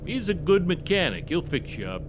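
A friendly highway trooper, plain-spoken and protective, as heard in an old radio drama. His voice is on the higher side, and there is clear noise and vehicle sound behind him. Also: sing-song, normal speed. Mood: helpful, cautioning.

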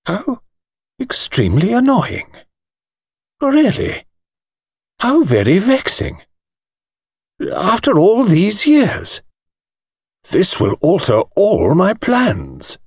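Man voicing a fussy, high-pitched old English gentleman. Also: wildly swooping pitch, breathy edge, no room sound, clean studio sound, full character voice. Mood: vexed. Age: older. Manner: precise, deliberate, old-fashioned diction. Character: fussy, precise elderly gentleman lawyer, musing and affable. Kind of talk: audiobook character voice.

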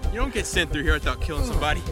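Man acting a curt, menacing captor, high-pitched voice. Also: lively intonation, rapid-fire, loud music behind the voice, close to an everyday voice. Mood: interrogating. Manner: curt, commanding, interrogating. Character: menacing, controlling male captor holding a hostage. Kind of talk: audio drama acting.

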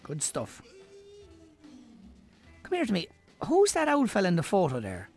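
Man acting irish best mate, radio play, animated, bantering, bit concerned, faint music behind. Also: sits high, moderate pace, slightly rough, heavily acted. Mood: curious. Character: caring, teasing male old friend, married. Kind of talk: naturalistic radio drama acting.

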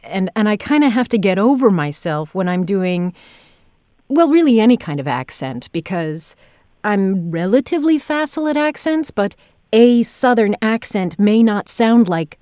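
A woman hosting a conversational interview, speaking in a thoughtful, halting way as she works out her phrasing. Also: mid-range voice, big pitch swings, warm tone, no room sound, clean studio sound. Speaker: podcast host, audio drama director and audiobook narrator.

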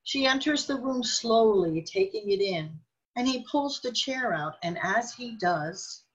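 A woman's voice: a little high, lively intonation, a little slow, breathy edge, lo-fi sound. A woman reading scripted narration for a radio drama as its storyteller.

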